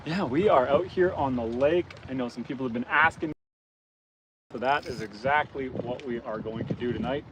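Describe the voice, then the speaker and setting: Hoarse man chatting on a windy boat. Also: medium pitch, moderate pace. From Canada. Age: adult, father of young kids. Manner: easygoing, chatty, greets viewers by name. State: hoarse, losing his voice. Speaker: outdoors channel host. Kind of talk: casual livestream chat while fishing. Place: outdoors on a boat on a rainy lake. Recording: windy outdoor stream with boat noise. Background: wind and boat motor.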